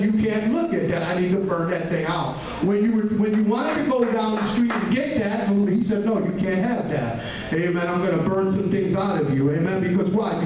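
Middle-aged man preaching, high voice, amen after every phrase, very echoey hall, distant camera, faint room noise. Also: a little mellow. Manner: says "amen" after nearly every phrase, halting restarts. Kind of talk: sermon. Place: small church hall with a fireplace. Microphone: handheld mic, filmed from a far camera.

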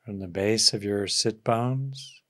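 A man leading a guided meditation as a teacher, giving gentle instructions with long pauses between phrases. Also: fairly low pitch.

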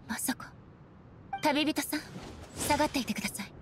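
A woman's voice: sits high, moderate pace, background noise, natural voice. An actress playing a resolute, reflective female deity and swordswoman in a Japanese game, with polite, formal, earnest speech. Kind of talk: Japanese anime game acting. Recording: faint game music behind the voice.

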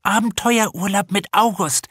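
A man's voice: sits high, moderate pace, fairly bright tone, slightly rough, no echo, voice pushed up. A wry snack-bar regular, put on by a male narrator, makes amused small talk in a raised voice. It is audiobook character reading in a dry recording.

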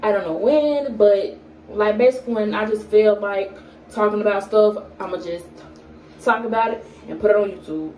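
A young woman, chatting to camera in a relaxed way, with many fillers and an occasional stutter. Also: mid-range voice, far from the mic, a little hiss or hum. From Atlanta, Georgia. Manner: unhurried, with frequent fillers and pauses; stutters at times. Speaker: vlogger. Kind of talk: casual get-to-know-me chat to camera. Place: small indoor room at home. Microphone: camera in front at arm's length. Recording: echoey room, voice a bit off-mic.

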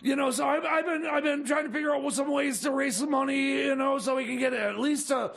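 A man's voice: very high voice, steady tone, fairly quick, gravelly, fairly bright tone, shouting, exaggerated voice. A man playing a hapless, exasperated time machine mechanic, stammering and complaining in radio comedy. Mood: hapless, scheming. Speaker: comic sidekick on a radio show.

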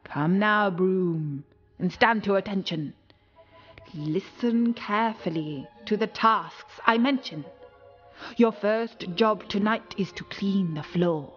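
A woman voicing an old male sorcerer who gives commands in measured, rhyming incantations. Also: medium pitch, slightly rough, highs cut off, faint music behind. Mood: commanding.

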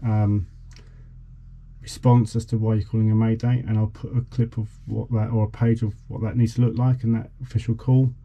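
A man's voice: on the low side, level tone, moderate pace, warm tone, lo-fi sound. A man in his forties giving an informal, slightly hesitant explanation as he demonstrates equipment in a vlog. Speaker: vlogger. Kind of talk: informal demonstration of a boat radio. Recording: clearly noisy background.

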